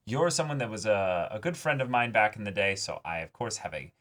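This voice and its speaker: A man with a fairly low voice speaks in casual discussion as a reaction show co-host. He thinks out loud in halting phrases and leans on profanity for emphasis. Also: lively intonation. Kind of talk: casual anime episode discussion.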